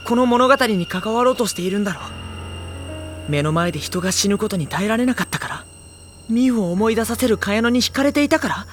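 A sensitive high-school boy with a youthful, high voice. He is earnest and anxious, telling his own story as audio drama, with clear music behind him. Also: a bit bright. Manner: earnest, introspective. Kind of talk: dramatic first-person narration and dialogue.

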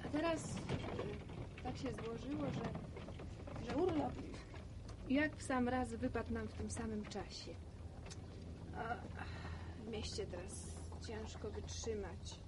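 An actress playing a lively, chatty young woman visiting her village in a Polish radio serial. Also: on the high side, takes it slowly, pauses often, heavy background noise. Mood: chatty, slightly worried. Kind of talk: Polish radio serial acting, natural dialogue.

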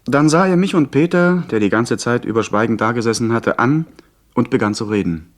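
An actor playing a proud, defiant young man who narrates his sea adventure in an expressive, literary radio play style. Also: mid-range voice, brisk, natural voice. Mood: earnest narrating.

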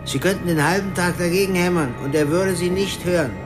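A man's voice: high voice, a little fast, close to an everyday voice. An actor playing an unbothered building doorman in a German radio crime drama, terse and matter-of-fact. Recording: loud music behind the voice.

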